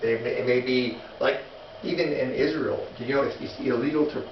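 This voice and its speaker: A man teaching a missions class in a relaxed, halting way, with many restarts and fillers while telling stories. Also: medium pitch. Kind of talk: informal classroom lecture. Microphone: distant room mic. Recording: echoey, distant, muffled classroom recording with noise.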